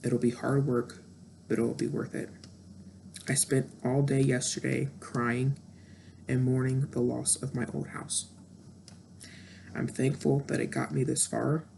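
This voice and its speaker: A woman's voice: a bass voice, level tone, measured pace, vocal fry, long pauses, warm tone, a little hiss or hum. A woman in her thirties talking candidly and introspectively in a reflective personal monologue, with frequent fillers. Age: 30s. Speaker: vlogger.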